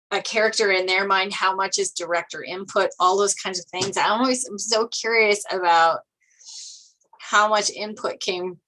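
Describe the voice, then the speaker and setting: A woman's voice: mid-range voice, sharp tone, low-quality recording. A woman in a casual discussion, musing reflectively as she talks. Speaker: discussion participant.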